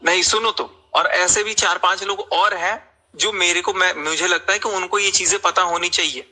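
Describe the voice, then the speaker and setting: High-voiced male, very sharp and forceful, confrontational debater on a group voice call, hammers questions repeatedly. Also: fairly even intonation, normal speed. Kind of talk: heated argument in a group call. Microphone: recorded group voice call.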